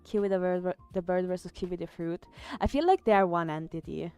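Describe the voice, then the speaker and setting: A woman's voice: lowish voice, a little fast, warm tone, smooth, faint music behind. A woman with an Italian accent, chatting casually on a live art stream, reading chat aloud and trailing off with fillers. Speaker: artist streamer.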